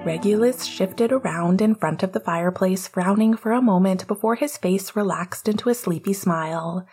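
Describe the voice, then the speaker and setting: A woman with a soft, breathy voice narrating written fiction as an audiobook-style reader. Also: medium pitch, normal speed, soft background music. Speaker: podfic reader.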